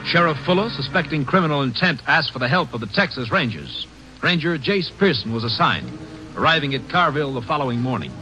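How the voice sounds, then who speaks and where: A man's voice: a little high, lively intonation, fairly quick, natural voice. Grave old time radio man narrator, crime drama, dull lo-fi sound with music behind. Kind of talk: 1940s-50s radio drama scripted narration.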